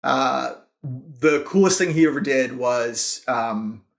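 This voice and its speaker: A man's voice: mid-range voice, slow, vocal fry. A man speaking casually and emphatically as a podcast guest, telling stories about his work as a founder in an informal, enthusiastic way. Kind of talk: casual interview storytelling.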